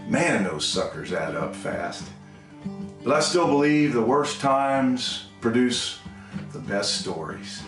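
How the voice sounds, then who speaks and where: A man's voice: mid-range voice, lively intonation, takes it slowly, reverberant, recorded from a distance, soft background music. A man introducing his song with a wry, laid-back story. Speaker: singer-songwriter introducing a song.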